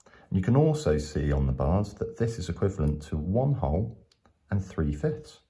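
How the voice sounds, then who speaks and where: A man's voice: low voice, big pitch swings, moderate pace, warm tone. A man teaching maths step by step in a patient, explanatory way, going back over his points.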